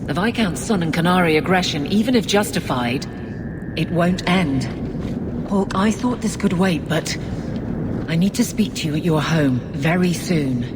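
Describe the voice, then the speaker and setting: A woman's voice: lowish voice, animated, moderate pace, background noise, close to an everyday voice. An actress playing a stern, dutiful lawwoman in a video game, firm and no-nonsense. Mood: grave, urgent. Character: stern, dutiful, exasperated woman keeper of law. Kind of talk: video game drama acting.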